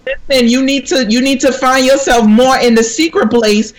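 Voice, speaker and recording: A woman's voice: high voice, fairly even intonation, normal speed. A woman minister from Jamaica speaking in an earnest, sermon-like way on a panel talk, quoting scripture. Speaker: minister, guest. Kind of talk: conversational panel talk with preaching.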